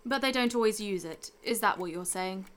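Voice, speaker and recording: A woman's voice: medium pitch, moderate pace, lightly acted. A woman playing a stern, blunt space-police commander in an audio drama, curt and authoritative. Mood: interrogating.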